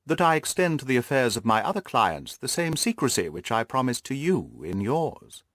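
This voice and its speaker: Suave detective, done by a male reader, composed, inquisitive, brisk questions, very varied pitch, audiobook dialogue. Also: very animated, fairly bright tone, dry recording, clean studio sound.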